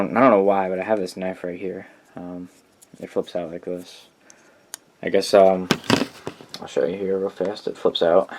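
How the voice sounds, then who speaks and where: Low-voiced man rambling over clear noise. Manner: rambling, informal, enthusiastic about the items. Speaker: hobbyist collector presenting a radio collection. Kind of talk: casual unscripted show-and-tell.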